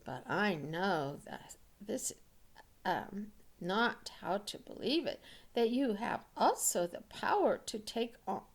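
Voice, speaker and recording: A sly tomcat from a storybook, voiced by a woman reader, high and courteous. The flattery comes slowly, with a coaxing, swooping lilt. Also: vocal fry, exaggerated voice. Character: flattering, sly, courteous male cat trickster. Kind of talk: storybook read aloud.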